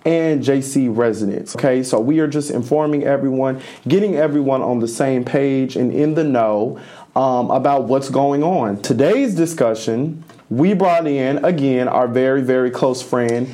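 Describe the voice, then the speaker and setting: A man's voice: mid-range voice, normal speed, dark tone. Young man with a strained voice. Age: young adult. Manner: rambling with fillers and restarts. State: slightly strained voice. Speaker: student podcast co-host. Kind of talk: casual chat.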